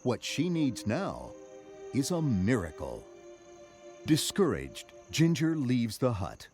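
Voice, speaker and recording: A man's voice: medium pitch, wildly swooping pitch, takes it slowly, darkish tone, soft background music. A man reading scripted narration for a children's read-along story.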